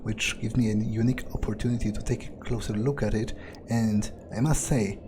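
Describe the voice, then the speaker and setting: A man's voice: lowish voice, steady tone, fairly quick, noisy background. A man doing in-character game commentary, thinking aloud in a musing, hesitant, casually sweary way. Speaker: video game player narrating in character.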